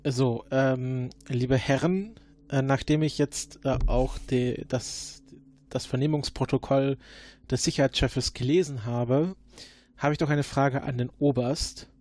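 Man playing a formal, halting investigator. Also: mid-range voice, steady tone, darkish tone, lightly acted. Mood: probing. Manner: halting and formal, many fillers, probing questions. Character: formal male time-travelling agent investigator. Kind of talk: improvised murder-mystery roleplay.

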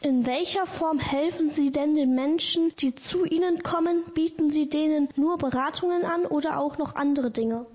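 A woman's voice: high voice, normal speed, hardly any pauses. A teenage girl, a youth podcast reporter, politely reading prepared interview questions. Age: teens. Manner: polite, carefully read questions. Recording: dull lo-fi sound.

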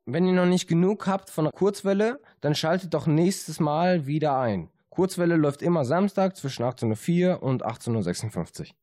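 A woman's voice: low-pitched, animated, moderate pace, few pauses, dark tone, no room sound, clean studio sound. A woman presenting a radio show with a scripted sign-off delivery.

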